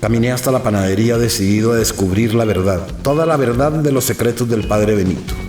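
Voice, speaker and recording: An actor narrating an audio drama as an anxious, inquisitive priest, in measured literary phrases with dramatic pauses. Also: male voice, on the low side, echoey room, music in the background, natural voice. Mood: urgent, resolute. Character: anxious, inquisitive male priest recounting his own secret investigation. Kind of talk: scripted first-person audio drama narration.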